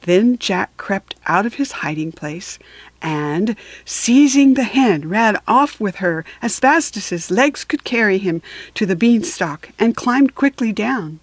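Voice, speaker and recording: A woman reading a children's story aloud for beginning readers, measured and deliberate, with storytelling emphasis. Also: mid-range voice, lively intonation, no echo. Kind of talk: slow, careful read-aloud for beginning readers.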